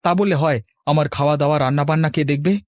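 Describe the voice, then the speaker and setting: A man's voice: fairly high pitch, fairly even intonation, racing, no room sound, clean studio sound, lightly acted. A man voicing an earnest young husband in a village cartoon.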